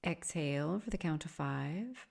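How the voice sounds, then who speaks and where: A woman teaching guided sleep meditation, speaking softly and soothingly in measured phrases with long pauses between them. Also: low voice, lively intonation, creaky. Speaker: yoga nidra meditation teacher.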